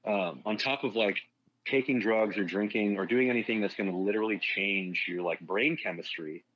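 A man with a fairly low voice talks through fitness in loose, rambling podcast chat. He is blunt and swears freely. The recording sounds a little dull and lo-fi. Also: normal speed. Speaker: fitness guest.

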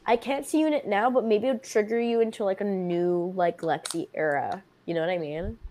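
Chatty, expressive young woman. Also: mid-range voice, a little hiss or hum. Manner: chatty, expressive, quick to laugh, lots of fillers. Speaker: podcast guest. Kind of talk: casual chat.

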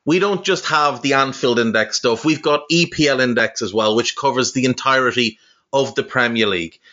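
A man's voice: mid-range voice, pushed and bright. A man podcaster delivering a casual promo, speaking straight to the listener. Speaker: podcaster promoting his network. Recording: dull, lo-fi audio.

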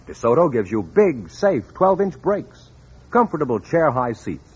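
Swooping, husky male radio pitchman. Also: sing-song, a little slow. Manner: emphatic salesman delivery. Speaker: commercial announcer. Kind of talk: 1950s radio advertisement, scripted. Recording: muffled old radio recording.

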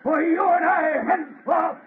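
A man playing a vengeful, mocking man-made monster in old radio horror style, raspy and grandiose in his speech. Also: extremely high pitch, fairly even intonation, a little slow, background noise. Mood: bitter, gloating. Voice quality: raspy, gravelly. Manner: grandiose, declamatory. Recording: muffled lo-fi old radio recording.

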